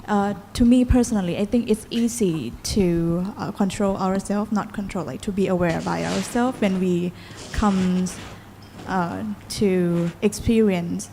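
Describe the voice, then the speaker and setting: A woman's voice: mid-range voice, dark tone. Hesitant woman asking a question, hall echo. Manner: hesitant, searching for words. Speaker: audience member asking a question. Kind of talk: question at a Dharma talk. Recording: reverberant hall with faint noise.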